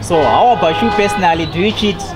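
A man's voice: sits high, quick pace, over loud music, over a noisy crowd, rough recording. A young man from Zimbabwe holding a handheld mic outdoors by a roadside, asking passers-by questions in a friendly, teasing way. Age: young adult. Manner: friendly, teasing, repeats "seriously?". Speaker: street interviewer. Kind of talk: casual street interview. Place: outdoors on a roadside by a school building.

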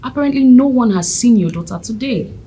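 An actress playing a composed school principal who speaks formally and firmly. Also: female voice, fairly high pitch, a little fast, a little hiss or hum, lightly acted. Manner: calm, formal, reassuring. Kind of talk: film drama acting.

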